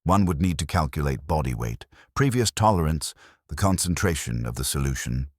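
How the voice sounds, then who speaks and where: A man reading the lines of a composed male doctor, measured and clinical. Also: a bass voice, sing-song, no room sound, studio quality, full character voice.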